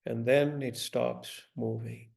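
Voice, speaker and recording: A man leading a guided meditation in a gentle, deliberate manner, giving instructions with long pauses. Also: medium pitch, fairly even intonation, creaky.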